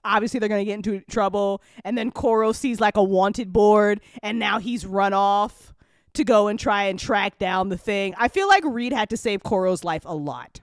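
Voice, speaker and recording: A woman chatting casually and unscripted on an after-show, telling stories in a rambling, animated way with slangy swearing. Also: medium pitch, normal speed, no room sound, clean studio sound. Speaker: audio drama creator chatting on a live after-show.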